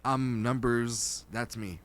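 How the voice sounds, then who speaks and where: A man's voice: mid-range voice, fairly even intonation, takes it slowly, a bit bright. A man hosting an interview, asking blunt questions and joking casually. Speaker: host.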